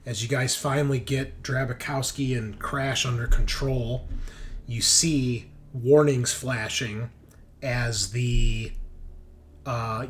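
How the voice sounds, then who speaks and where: A man improvising narration as game master of a tabletop game, haltingly, thinking aloud. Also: medium pitch, a little hiss or hum.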